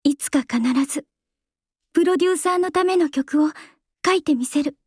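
An actress voicing a young female idol singer in a game, calm and composed, soft-spoken and earnest. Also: high-pitched, normal speed, no room sound, clean studio sound, lightly acted. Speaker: game character voice. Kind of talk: game voice lines. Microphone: close studio mic, dry.

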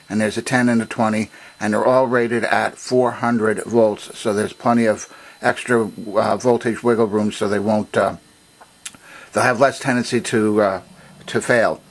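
A man presenting an unscripted hands-on technical demonstration in a halting, methodical, explanatory way. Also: mid-range voice, rough recording. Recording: noticeable background noise.

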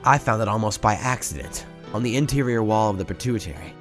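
A man's voice: lowish voice, animated, fast talker, faint music behind, close to an everyday voice. A man playing a stern, brooding doctor in an anime dub, intense and accusatory in his delivery. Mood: cold, demanding. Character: stern, brooding male doctor, indignant and morally driven. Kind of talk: dramatic anime dub acting.

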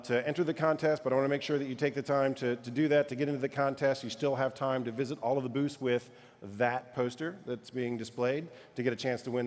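A man's voice: a little high, darkish tone. Chatty middle-aged host guy on a big stage, long echo from the hall around him. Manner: upbeat, chatty, loose with fillers. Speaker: keynote host. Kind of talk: hosting a conference keynote. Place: large conference hall stage. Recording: reverberant conference hall.

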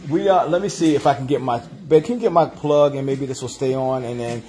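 A man hosting a casual vegan cooking livestream from his home kitchen, talking to viewers as family in a relaxed, chatty way. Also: mid-range voice, brisk. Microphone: phone camera.

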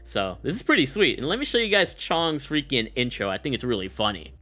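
A man's voice: medium pitch, huge pitch swings, fairly quick, pushed and bright. A young man hosting a gaming video with casual, hyped, slangy commentary. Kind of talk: casual commentary on a game showcase.

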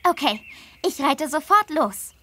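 A woman voicing a friendly, eager teenage girl on holiday in a children's game, earnest and clearly spoken. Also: high voice, lively intonation, unhurried, noisy background. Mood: friendly, concerned. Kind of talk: scripted children's game dialogue.

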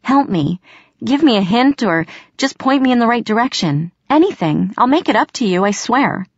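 A woman's voice: mid-range voice, lively intonation, normal speed, dry recording, dull audio, pitched above the performer's own voice. A woman voicing an anxious human girl in a fantasy audiobook, earnest, hesitant and pleading. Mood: desperate pleading.

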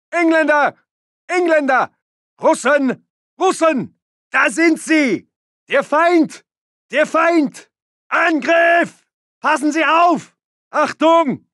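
An actor playing an aggressive, urgent German Wehrmacht soldier barking commanding battle orders in a video game. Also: male voice, very high voice, sharp tone, no echo, studio quality, exaggerated voice.

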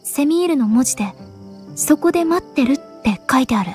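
Earnest young anime game girl, kinda high voice, serious and thoughtful, soft music behind. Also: lively intonation. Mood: startled, intent. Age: young adult. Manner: serious, measured, introspective. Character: earnest, pensive young woman agent. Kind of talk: Japanese anime game voice acting.

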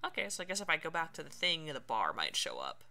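A woman's voice: deep voice, huge pitch swings, fast talker, pushed and bright. A woman doing casual Let's Play commentary, chatting and thinking aloud as she plays. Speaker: streamer playing a video game.

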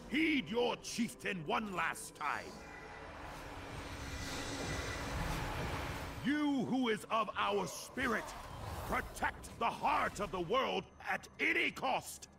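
An actor playing the ghost of a proud old warrior chieftain in a fantasy game, solemn and stately, with a gravelly voice. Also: male voice, high voice, heavily acted. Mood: rallying cry. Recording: music behind the voice.

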